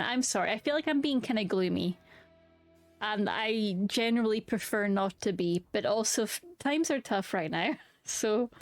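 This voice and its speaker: A young woman talks casually to her stream chat, candid and sweary, trailing off and restarting her sentences mid-thought. Her voice sits at a middling pitch for a woman. It is recorded close and dry on a desk mic, with faint music underneath. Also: dry recording.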